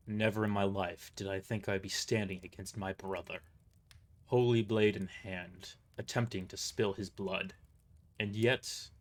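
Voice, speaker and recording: A man narrating a first-person dramatic story as a live reader, occasionally stumbling over a word. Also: on the low side.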